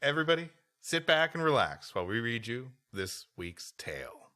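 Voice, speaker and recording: A man's voice: mid-range voice, big pitch swings, normal speed, sharp tone. A man co-hosting a live podcast, bantering and reading stories aloud in a mock-announcer manner. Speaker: podcast co-host.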